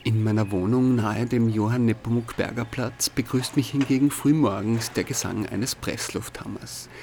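A man's voice: medium pitch, fairly even intonation, moderate pace, a little mellow. A man reading a scripted first-person radio narration. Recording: clear background noise, field-recording ambience.

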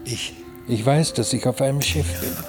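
A man's voice: medium pitch, moderate pace, over music, natural voice. A man playing a bewildered, half-dreaming traveller alone on a ship in a radio play. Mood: dreamy, confused. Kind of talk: slow, fragmented radio play monologue.

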